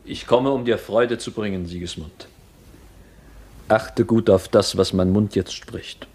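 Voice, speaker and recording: An actor playing a grave, commanding teacher and master in a radio play, solemn and measured, with a gravelly, husky voice. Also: male voice, on the low side, darkish tone. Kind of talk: classic German radio play acting.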